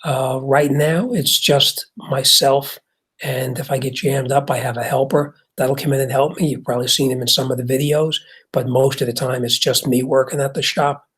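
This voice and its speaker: Husky New Jersey man talking shop. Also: mid-range voice, level tone, moderate pace, a bit bright. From Jersey City, New Jersey. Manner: conversational, reads chat comments aloud, frequent fillers and restarts. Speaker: host and auto mechanic answering viewer questions. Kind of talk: casual livestream chat.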